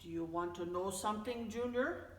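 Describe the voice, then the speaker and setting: A woman voicing a gentle, wise father bear in a children's storybook, explaining patiently and with emphasis to his young son. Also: mid-range voice, normal speed, a little hiss or hum, lightly acted. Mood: patient, earnest. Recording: echoey, reverberant room, distant mic.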